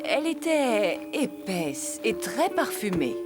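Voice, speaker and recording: A woman voicing an old jungle animal in a cartoon dub, wistful and drawn-out. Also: mid-range voice, big pitch swings, over loud music. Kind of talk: French cartoon dub acting.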